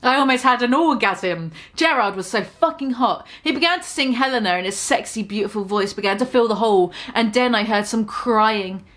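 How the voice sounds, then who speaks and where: A woman's voice: a little high, animated, normal speed, hardly any pauses, a bit bright. A young woman giving a mock-dramatic reading of a fan story, swearing and breaking off to comment on the text. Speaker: reader.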